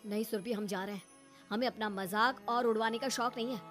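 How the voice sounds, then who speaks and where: An affronted middle-aged Indian mother acted by a woman narrator, speaking curtly in a high voice. She is a bride's offended mother in a domestic story, with faint music. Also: fairly even intonation, fairly quick, a bit bright, lightly acted. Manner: affronted, curt.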